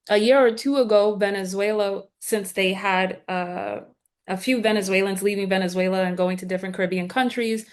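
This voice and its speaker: A woman from Guyana, a guest speaker, answering a question on a call in an earnest, measured, explanatory way. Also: medium pitch. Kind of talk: lecture-style answer on a video call.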